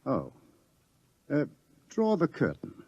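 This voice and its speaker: A middle-aged scientist in a vintage radio play, grave and commanding. His delivery swings widely in pitch as he lectures deliberately about doom. The recording is dull and lo-fi. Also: huge pitch swings, gravelly, darkish tone, breathy edge, dry recording, heavily acted. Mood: calm, commanding. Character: authoritative older male scientist explaining impending doom. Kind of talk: old radio drama acting.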